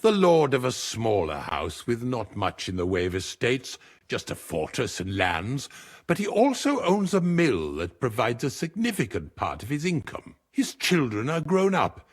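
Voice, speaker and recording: A man playing a dutiful advisor to a lord in a video game, husky-voiced, formal and explanatory. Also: mid-range voice, huge pitch swings, normal speed. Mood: matter-of-fact. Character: dutiful, formal lord's advisor, informative and exact. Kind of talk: video game cutscene acting.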